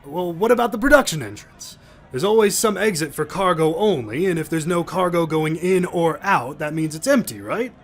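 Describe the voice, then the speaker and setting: A man's voice: a little high, animated, moderate pace. A man voicing a small, sly, grumbly alien factory worker in an audio drama. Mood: pleading.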